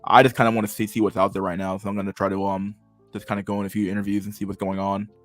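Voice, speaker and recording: A fairly low-voiced young man with a stammer and a slight lisp rambles to his stream chat, stalling on words and cursing often. Quiet music plays faintly behind him. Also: flat delivery. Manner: halting, restarts words often; swears freely. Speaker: livestream host reading chat. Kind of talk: casual livestream chat.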